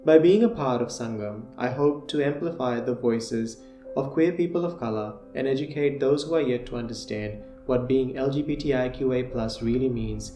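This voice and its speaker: Fiji-born man, mellow and earnest. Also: mid-range voice, moderate pace, reverberant, soft background music. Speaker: podcast co-host. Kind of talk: scripted self-introduction.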